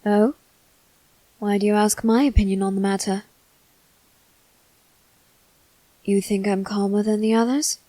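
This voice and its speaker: Woman voicing a soft-spoken, courteous demon brother. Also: mid-range voice, fairly even intonation, moderate pace, no room sound, natural voice, low-quality recording. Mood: coy, polite. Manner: polite, formal, gently teasing. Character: soft-spoken, courteous male demon servant triplet, teasing. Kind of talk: ASMR roleplay addressed to the listener.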